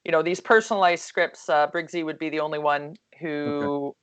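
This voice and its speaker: A woman with a low, calm voice talks about coaching over a video call. Her speech is relaxed and rambling, full of fillers and self-corrections, and her tone stays soothing. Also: moderate pace, clean tone, poor audio. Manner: chatty, lots of fillers and restarts. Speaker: hockey coach. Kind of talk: casual video call discussion.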